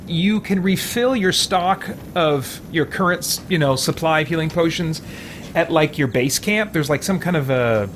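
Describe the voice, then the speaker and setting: A man hosting a game stream, chatting casually and explaining in a halting, conversational way. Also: a little high, background noise. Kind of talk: casual live chat while playing.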